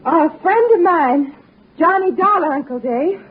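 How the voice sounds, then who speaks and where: High-voiced femme fatale. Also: female voice, lively intonation, unhurried. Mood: sweet, flustered. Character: sweetly inviting, dangerous young femme fatale. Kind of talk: 1960s radio drama acting. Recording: narrow-band old radio, noisy.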